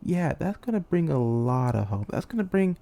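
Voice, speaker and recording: A man's voice: a little high, sing-song, normal speed, vocal fry, warm tone. A man acting an amateur dramatic monologue as a weary, bitter young student commander, brooding and intense. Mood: despairing, questioning. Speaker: aspiring voice actor.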